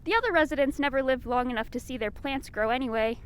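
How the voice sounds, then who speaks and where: A woman acts a nursing home keeper in a comic audio drama. She speaks in a high voice, sweetly welcoming and cheerfully morbid, never fazed. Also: fast, slight background noise, lightly acted. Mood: cheerful, unfazed.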